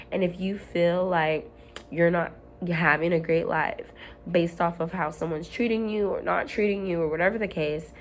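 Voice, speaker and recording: A woman at home, hoarse while her voice comes back, giving an earnest, rambling motivational talk to camera with frequent ums and restarts. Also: on the low side, a little hiss or hum. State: hoarse, recovering from lost voice. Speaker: self-help vlogger.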